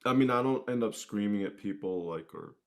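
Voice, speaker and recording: Low-pitched, mellow man chatting casually. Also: fairly quick, a crowd in the background. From United States. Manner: mellow, laid-back. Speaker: singer-songwriter guest. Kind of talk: casual interview chat.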